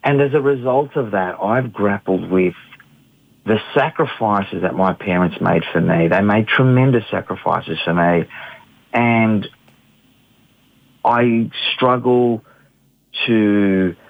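A middle-aged man with a very low, gravelly voice answers interview questions thoughtfully, pausing often with ums and restarting his sentences. He is from Australia. The sound is dull and muffled, like a radio broadcast. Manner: hesitant, many fillers and restarts. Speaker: interview guest, artist.

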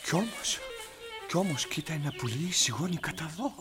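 Wildly animated, excitable old man. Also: on the high side. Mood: curious. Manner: exclamatory, stammering repetitions. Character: excitable, teasing old man, comic adventurer. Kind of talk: theatrical comedy acting. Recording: music loud behind the voice.

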